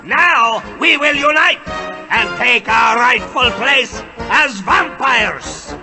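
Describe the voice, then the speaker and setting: High-pitched, pompous vampire leader. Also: male voice, lively intonation, slow pace, creaky, music in the background, heavily acted. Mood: commanding. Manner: pompous, declamatory. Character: grandiose menacing male vampire leader rallying his kind. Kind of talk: theatrical audio drama oratory.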